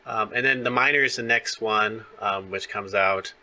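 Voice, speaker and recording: A man's voice: fairly low pitch, normal speed, pushed and bright, poor audio. A man hosting a casual interview, talking like an enthusiastic fan. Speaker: interviewer, host.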